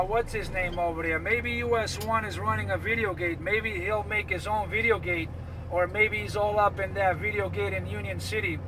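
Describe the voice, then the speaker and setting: A high-voiced man works the CB radio in streetwise slang, repeating his call-outs over and over. Clear vehicle and outdoor road noise runs behind him. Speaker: CB radio operator. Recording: noisy, with vehicle and outdoor traffic sounds.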